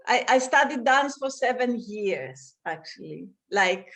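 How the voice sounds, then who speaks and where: A woman from Greece, a dancer and dance teacher, answering interview questions over a video call and often pausing to search for words. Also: a little high, expressive, vocal fry, fairly bright tone. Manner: thoughtful, searching for words, frequent restarts.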